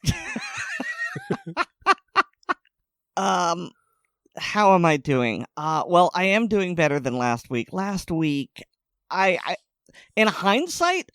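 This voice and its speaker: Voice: medium pitch, no room sound, clean studio sound. A podcast co-host chatting casually, rambling and restarting sentences, laughing often. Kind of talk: casual chat.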